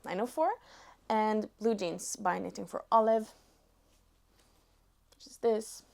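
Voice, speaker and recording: A woman in her twenties from Norway with a Norwegian accent, chatting casually to viewers as a knitting vlogger, pausing between short phrases. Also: mid-range voice, fairly even intonation, vocal fry. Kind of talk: casual chat to camera.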